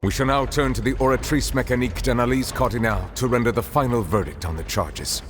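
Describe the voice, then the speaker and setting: Game chief justice, male actor: formal, measured, grave, long reverb with faint music behind. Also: medium pitch, lightly acted. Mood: solemn. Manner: solemn, measured, authoritative. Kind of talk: formal video game acting.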